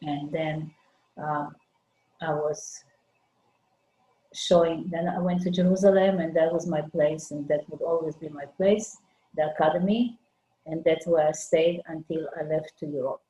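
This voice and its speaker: Older woman from Lithuania, low dark voice, halting delivery, roomy echo on a video call. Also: steady tone. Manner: halting, searching for words. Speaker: guest artist. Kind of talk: conversational interview.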